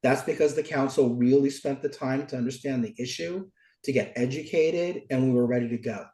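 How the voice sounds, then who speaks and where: A middle-aged American man, stuffy with a cold, giving deliberate, articulate interview answers as a local politician. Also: medium pitch, dark tone. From Oakland, California, United States. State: stuffy from a cold, cough drop in mouth. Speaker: local politician, former mayor. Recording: roomy, echoing sound.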